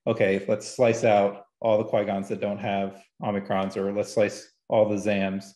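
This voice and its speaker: A man with a fairly low voice speaks as a guest data analyst on a gaming show. He explains technical details casually, halting and regrouping as he thinks. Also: completely flat delivery, warm tone, reverberant.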